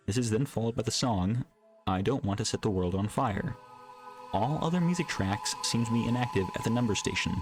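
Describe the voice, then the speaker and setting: Male narrator for scripted creepypasta, fairly low register, deliberate measured read, soft music bed underneath. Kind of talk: scripted horror story narration. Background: background music.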